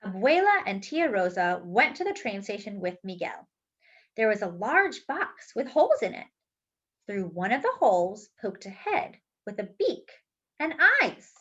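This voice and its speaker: A woman reading a children's picture book aloud in an expressive, careful, teacherly way. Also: mid-range voice, a little slow, slightly rough, lo-fi sound.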